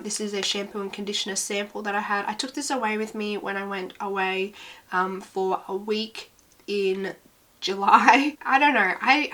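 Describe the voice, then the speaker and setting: A woman's voice: medium pitch, fairly bright tone. A young woman reviewing beauty products she used up, talking casually and giving blunt opinions, her voice a little off as she recovers from a cough. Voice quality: voice sounds slightly weird. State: recovering from illness, slight cough. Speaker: beauty vlogger.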